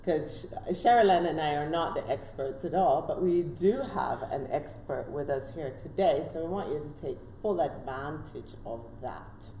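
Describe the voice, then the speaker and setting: A woman hosting a live online talk show, welcoming viewers briskly and prompting her guest with short affirmations. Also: low-pitched, animated, mellow. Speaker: host. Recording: echoey room with faint noise.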